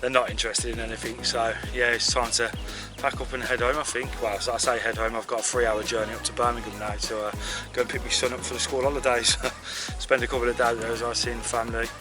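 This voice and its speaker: A man's voice: medium pitch, quick pace, few pauses, music in the background. A man vlogging outdoors at a lakeside, talking casually to camera with lots of fillers and sounding tired and congested. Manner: relaxed, chatty, with many fillers. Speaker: fishing vlogger.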